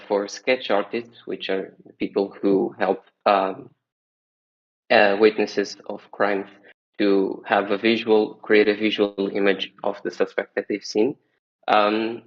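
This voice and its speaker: Man from Portugal, panel guest: low, gravelly and flat, calm explainer on a muffled call line. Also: takes it slowly. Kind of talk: casual explanation. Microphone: video call. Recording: dull lo-fi video call.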